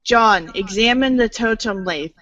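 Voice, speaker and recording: A woman's voice: a little high, a little slow, fairly bright tone, dry recording, muffled recording. Woman narrator in a dry recording. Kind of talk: scripted storybook-style narration.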